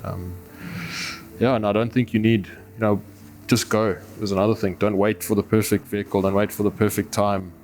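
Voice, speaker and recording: Low, even-toned Zimbabwean man over music. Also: normal speed. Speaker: adventurer and overlander, interviewee. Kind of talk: reflective documentary interview.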